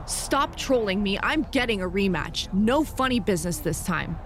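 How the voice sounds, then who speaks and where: A woman's voice: mid-range voice, expressive, normal speed, slight background noise, close to an everyday voice. A woman playing a feisty, suspicious young female wrestler in a video game cutscene, blunt and indignant. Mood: defiant.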